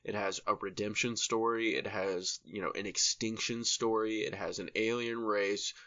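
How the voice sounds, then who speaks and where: A man's voice: lowish voice, steady tone, normal speed, bright, forceful tone, dull audio. A man co-hosting a podcast, chatting casually and enthusiastically with slang and swearing. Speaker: podcast co-host.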